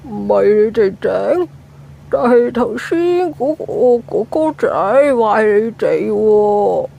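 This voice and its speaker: Flustered, creaky-voiced Hong Kong security guard. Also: female voice, mid-range voice, animated, slow pace, warm tone, full character voice. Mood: exasperated, reporting. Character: flustered, bossy building security guard woman. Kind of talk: Cantonese radio drama acting.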